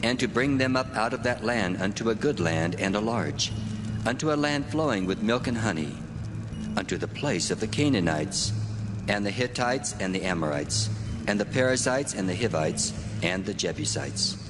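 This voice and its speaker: A man voicing God, deep and echoing. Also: expressive, natural voice. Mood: solemn. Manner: solemn, measured proclamation. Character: God, deep commanding divine male voice. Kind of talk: dramatized scripture reading. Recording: long echoing reverb with music.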